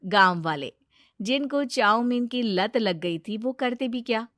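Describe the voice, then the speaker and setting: A woman narrating a moral cartoon story in a steady, matter-of-fact way. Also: fairly high pitch, a little slow, no echo, clean studio sound.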